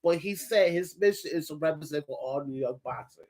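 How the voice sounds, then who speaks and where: A man's voice: fairly high pitch, fairly quick, a little mellow. Light-voiced man hosting profane sports banter. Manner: loose, profane, talks over others. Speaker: podcast host.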